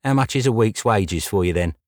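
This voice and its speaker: A man's voice: lowish voice, expressive, brisk, darkish tone, no echo, clean studio sound, pitched above the performer's own voice, natural voice. A man voicing a nervous male visitor with a trembling delivery, trying to mask his accent. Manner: hesitant, trembling, trying to sound composed.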